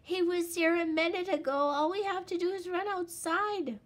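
A woman voicing a mischievous young male wolf cub who shouts and pleads in a shrill voice. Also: moderate pace. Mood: excited, pleading.

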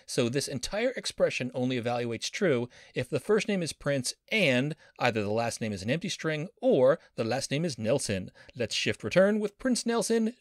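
A man's voice: mid-range voice, no echo, studio quality. A man teaching a coding lesson as a professor, playful and enthusiastic, with pop-culture jokes. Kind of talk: screen-recorded coding lesson.

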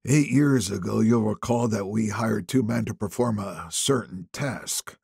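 Guy narrating as nervous right-hand man, guarded and careful, creaky voice, audiobook. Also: on the low side, a little slow, a little mellow, no room sound, clean studio sound, full character voice. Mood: guarded, tense. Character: anxious male confidant of a boss, guarded, deferential. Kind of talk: audiobook character dialogue.

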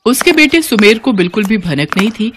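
A woman's voice: medium pitch, lively intonation, a little fast, noisy background. A woman reading scripted storybook narration as the narrator of a cartoon tale.

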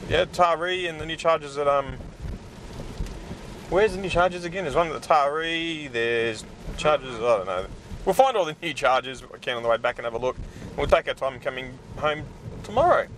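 A man's voice: a little high, fairly bright tone, slight background noise. A middle-aged Australian man narrating a casual road trip vlog from inside his car, chatty and loose, with asides and fillers. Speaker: EV vlogger. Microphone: dash-mounted camera. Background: background music.

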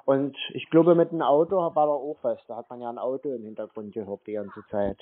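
A man's voice: mid-range voice, steady tone, brisk. A man posing as a customer on a telephone call, asking persistent questions in a naive-sounding way. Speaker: prank caller posing as customer. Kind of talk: phone conversation. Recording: narrow phone-like sound.

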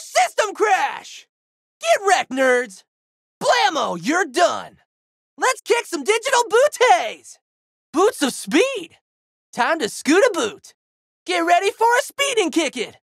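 A man's voice: very high-pitched, gravelly, sharp tone, dry recording, studio quality, heavily acted. A man voicing a plucky, goofy teenage boy hero with slangy, exuberant delivery for a video game. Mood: battle-pumped, shouting. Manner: exuberant, slangy surfer-dude boyishness. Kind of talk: video game character voice lines.

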